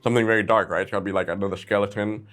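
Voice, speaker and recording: Low-voiced man, casual reviewer. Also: steady tone, racing, a bit bright. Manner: informal, playful, addressing viewers directly. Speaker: host of a brick-set review channel. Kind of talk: casual chatty product review.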